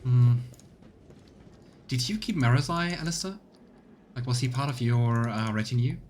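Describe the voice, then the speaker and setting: Male let's play streamer, casual and musing, mid-pitched, with some game ambience and noise behind. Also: normal speed, darkish tone. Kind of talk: casual live game commentary, sometimes reading game text aloud.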